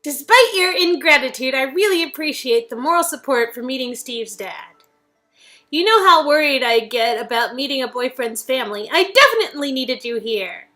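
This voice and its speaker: A woman's voice: high voice, animated, normal speed, sharp tone, soft background music. A woman playing a playful, affectionate young woman in an interactive story game, with a theatrical, teasing manner. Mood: bubbly, teasing.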